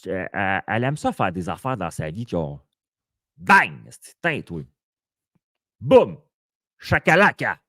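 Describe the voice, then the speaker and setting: A playful Québécois man hosts a live podcast from a small studio. His voice swings widely in pitch as he teases and exclaims. The sound is close and dry. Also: sing-song, measured pace, pauses often, sharp tone, no echo. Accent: Québécois French. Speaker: podcast host. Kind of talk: lively live podcast interview.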